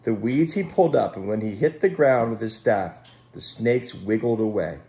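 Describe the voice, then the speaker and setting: Animated man reading a children's story. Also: on the low side, moderate pace. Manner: expressive, dramatic, speaks to child listeners. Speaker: Sunday school storyteller. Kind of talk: animated children's story read aloud with asides. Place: at home.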